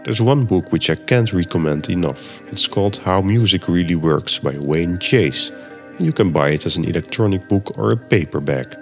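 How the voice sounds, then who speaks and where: A man's voice: low voice, lively intonation, moderate pace, soft background music. A middle-aged man, a native Dutch speaker with a Dutch accent, hosting a podcast and reading scripted segments with step-by-step explanations. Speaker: podcast host. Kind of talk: scripted podcast narration and software tutorial.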